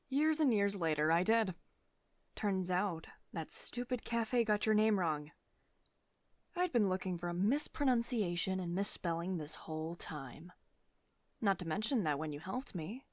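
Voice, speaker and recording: A woman's voice: mid-range voice, no echo, close to an everyday voice. Woman acting a doting, dominant CEO, close and dry. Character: wealthy dominant tech CEO woman, doting, possessive, confiding. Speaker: ASMR roleplay voice performer. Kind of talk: ASMR roleplay acting.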